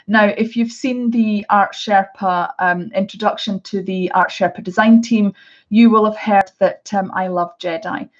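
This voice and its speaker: A woman's voice: medium pitch, fairly even intonation, a little slow, muffled recording. A middle-aged Scottish woman chatting casually through a live unboxing, with many hesitations and restarts. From Scotland. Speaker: art vlogger.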